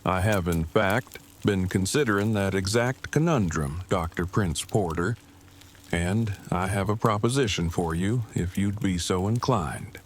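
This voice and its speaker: A man playing an earnest, bookish bridegroom in an audio drama, speaking in a formal, wordy, precise and measured way. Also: sits low, slight background noise. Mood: formal, thoughtful.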